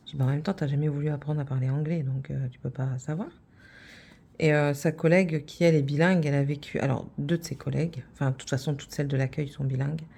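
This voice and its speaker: A woman's voice: sits low, steady tone, fast, vocal fry, warm tone, breathy edge. A middle-aged woman from France, hosting a craft channel and chatting casually, rambling with many fillers and restarts.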